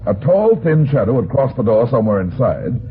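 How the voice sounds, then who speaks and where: An actor playing a wry, blunt private detective in an old radio drama, with a terse, tough, sardonic delivery. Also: male voice, medium pitch, very animated, fairly quick, natural voice. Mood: wry narrating. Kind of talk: old-time radio detective narration and dialogue. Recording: thin, phone-like vintage radio recording.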